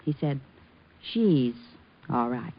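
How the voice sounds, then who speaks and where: A woman's voice: very deep voice, lively intonation, slow, creaky, exaggerated voice. Arch jealous american girlfriend in old radio drama, teasing, very up and down, muffled old recording. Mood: jealous, probing. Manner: arch, coy questioning. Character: poised, teasing, jealous sweetheart of a detective. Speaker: actress in supporting role. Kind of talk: 1940s-50s radio drama acting. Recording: dull vintage radio recording.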